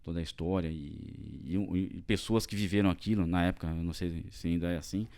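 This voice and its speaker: A man's voice: low-pitched, a little fast, gravelly, a little mellow. A man from Brazil talking casually as a podcast guest, rambling with many fillers and tag questions. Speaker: podcast guest, tax executive. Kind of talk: casual interview chat.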